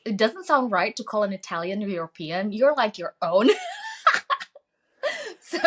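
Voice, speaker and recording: A woman in her late thirties hosting a podcast, curious and teasing, with many listening noises. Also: fairly high pitch. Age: 30s. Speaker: podcast host. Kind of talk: casual interview chat.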